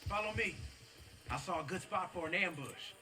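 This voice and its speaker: High-voiced, eager young outlaw. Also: male voice, animated, moderate pace, noisy background. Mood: casual, restless. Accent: American. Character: eager, defensive young male Old West outlaw. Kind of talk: video game dramatic acting.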